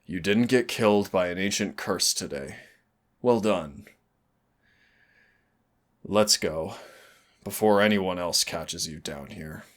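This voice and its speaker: A very low-voiced male demon, acted by a man in an audio roleplay. He drawls at a slow pace, sardonic and cocky, with a teasing, exasperated fondness for the human he trains. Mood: dry, scolding. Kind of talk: scripted roleplay audio acting.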